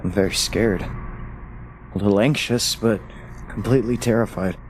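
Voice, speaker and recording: A man's voice: on the low side, a little slow, a little hiss or hum, natural voice. A man acting a loving, wistful and frightened husband in an audio play, speaking in a sentimental, hesitant way. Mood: frightened, awed. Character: recently deceased loving husband, tender, wistful, scared.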